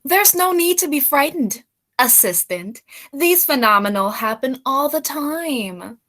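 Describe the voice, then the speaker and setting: Woman as astronomy doctor, bright high voice, hesitant, reassuring, radio drama. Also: animated, a little slow, heavily acted. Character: unsure, easily derailed astronomy doctor being interviewed. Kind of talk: radio play acting.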